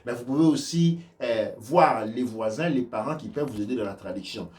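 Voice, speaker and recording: A man giving religious teaching on offerings and prayers, speaking haltingly with many restarts and sudden shifts between loud and soft. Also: medium pitch, distant mic. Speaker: spiritual teacher. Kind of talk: religious teaching in a live stream.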